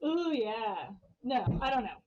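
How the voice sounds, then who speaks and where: High-voiced woman casually retelling a story. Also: sing-song, measured pace, highs cut off. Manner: irreverent, slangy, frequent swearing. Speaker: podcast host telling a story.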